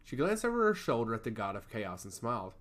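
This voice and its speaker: A man's voice: mid-range voice, very animated, quick pace, fairly bright tone. A man reading a scripted fantasy story aloud as its narrator. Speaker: audiobook narrator.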